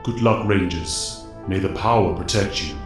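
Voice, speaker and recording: An actor playing a wise, noble alien mentor in an audio drama, speaking solemnly and ceremonially. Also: male voice, low-pitched, normal speed, lightly acted. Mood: solemn, proud. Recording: echoing voice over music.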